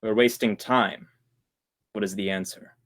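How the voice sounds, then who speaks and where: A man's voice: lowish voice, steady tone, measured pace. A man playing a politely threatening older killer in an old-time radio drama, speaking formally and precisely. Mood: grimly resolved. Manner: formal, precise, quietly menacing.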